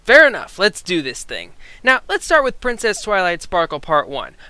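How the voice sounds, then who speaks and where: A man co-hosting a review in a conversational but scripted style, with an animated, emphatic manner. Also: sits high, moderate pace, clear, resonant tone, no echo. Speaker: co-host reviewer.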